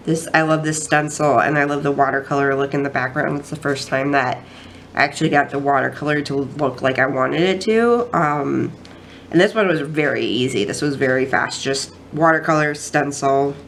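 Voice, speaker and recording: A woman's voice: deep voice, fairly even intonation, moderate pace, fairly bright tone, a little hiss or hum. A woman with a stuffy, nasal voice from a cold, chatting casually through a craft journal flip-through and rambling with many ums and restarts. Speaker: craft vlogger.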